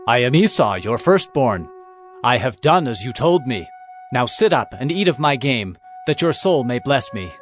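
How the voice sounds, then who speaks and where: A man's voice: medium pitch, very animated, normal speed, natural voice. Casting: man to play wary, deceiving biblical son, very mobile intonation, music underneath. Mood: guarded, dutiful. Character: younger son Jacob, a cautious, deceiving grown man. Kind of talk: dramatized Bible audio acting.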